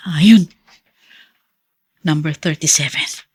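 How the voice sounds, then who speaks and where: Low, husky, determined woman. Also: slow pace, a little mellow, no echo, studio quality, heavily acted. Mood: searching, relieved. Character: determined woman thinking aloud while searching. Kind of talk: radio drama monologue.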